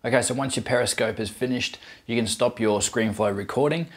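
A man in his thirties narrating a scripted how-to tutorial, giving clear step-by-step instructions as the host. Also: medium pitch, a little fast.

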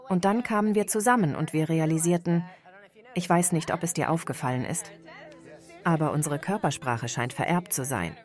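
A woman's voice: deep voice, a little mellow. A woman speaking as a guest in a television studio interview, reflective and earnest. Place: TV studio set.

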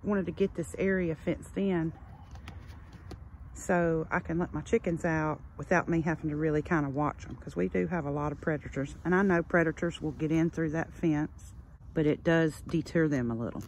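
A woman's voice: low voice, steady tone, normal speed, darkish tone, slight background noise. Woman, 30s, casual homestead vlogger, filmed on a phone outdoors. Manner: drawling, chatty, coaxing a toddler. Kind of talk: casual chat while filming. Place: outdoors at a farm pen. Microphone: handheld phone.

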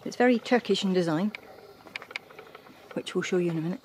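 A woman's voice: on the low side, fairly quick, darkish tone. A woman giving casual, chatty travel commentary on a handheld camera outdoors. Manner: chatty, informal. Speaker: travel vlogger, sailor. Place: outdoors at harbours and old ruins. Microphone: handheld camera microphone. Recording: clear outdoor background noise, camera audio.

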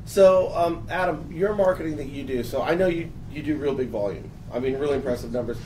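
A man in his thirties hosts a casual panel, his voice gravelly and worn hoarse. He talks in halting bursts full of restarts. The mic sits far off and the line is noisy. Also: on the high side, mellow. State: hoarse from a long three days. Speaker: host. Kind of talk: panel discussion. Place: office room with a panel.

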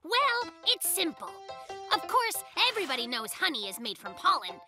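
Excitable high-pitched cartoon bear cub. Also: normal speed, music in the background. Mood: busy, self-satisfied. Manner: exclamatory, playful invented words. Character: excitable inventive young bear cub, cocky and playful. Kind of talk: children's cartoon voice acting.

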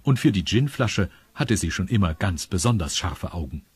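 A man's voice: deep voice, expressive, normal speed, husky, no room sound. A man narrating a scripted children's story, confiding in his young listeners. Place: studio.

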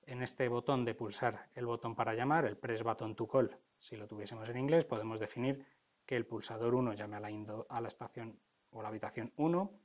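A man's voice: medium pitch, fairly quick, darkish tone, muffled recording. A man with an accent from Spain narrating an informal technical tutorial, explaining step by step and often checking in. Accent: Spain Spanish. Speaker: technical instructor.

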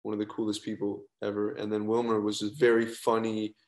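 Gravelly, flat-voiced young man. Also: on the low side, brisk. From Colombian family. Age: young adult. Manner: laid-back, rambling, trailing off. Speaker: actor guest. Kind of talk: casual interview chat. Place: indoor room, video call.

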